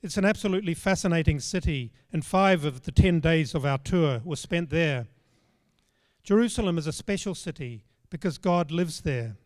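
A man's voice: on the high side, expressive. Older man preaching in a hall. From Australia. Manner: measured, storytelling, plain spoken. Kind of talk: sermon. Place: large church stage. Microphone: handheld microphone.

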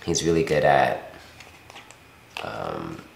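A young man talking casually to camera in a small room, halting and pausing to think. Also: rumbling low, very animated, vocal fry. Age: young adult. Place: small dim office room. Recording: roomy echo, faint noise.

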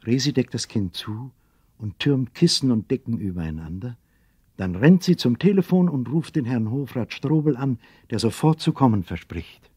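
Male story narrator for radio play: slightly deep, husky, dramatic, close and dry. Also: big pitch swings, moderate pace, warm tone, no echo.

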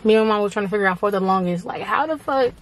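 A woman's voice: mid-range voice, racing, noisy background. A twenty-year-old woman vlogging in a bubbly, chatty way, swearing freely, talking into a phone held at arm's length. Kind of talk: excited casual vlog. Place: small home bathroom, then outdoors by a car. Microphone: phone camera at arm's length.

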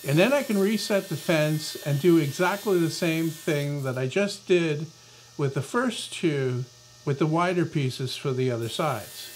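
A man's voice: on the high side, measured pace, slight background noise. A middle-aged man hosting a woodworking lesson, explaining casually as he works at the saw in his shop. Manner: relaxed, explanatory, talks while working. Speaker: woodworking channel host. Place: small woodworking shop indoors.

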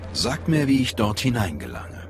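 A man's voice: mid-range voice, wildly swooping pitch, normal speed, vocal fry, a little hiss or hum. A man playing a cool, confident Sith inquisitor in a German game dub, terse and self-assured. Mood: cool, obedient.

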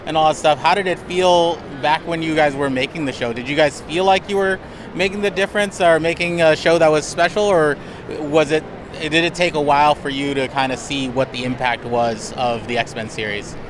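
A man's voice: a little high, fairly even intonation, moderate pace, noisy background. A man in his thirties conducting a casual fan interview in an informal, appreciative manner. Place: busy convention hall booth. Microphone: handheld interview microphone. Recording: busy convention crowd behind voice.

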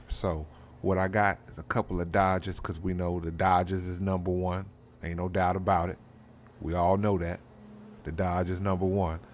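Man, low-pitched voice, casual slangy host, rambling role-play commentary, noticeable background noise. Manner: slangy, rambling, frequent "you know what I'm saying". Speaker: host of a drag racing role-play channel.

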